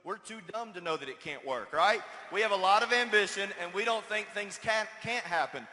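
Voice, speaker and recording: A young man in his twenties rallies the crowd in an energetic, punchy way. His voice is high and bright. A long hall reverb trails every phrase. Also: fairly quick. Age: 20s. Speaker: speaker at a business event. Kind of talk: motivational stage speech. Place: large hall on stage.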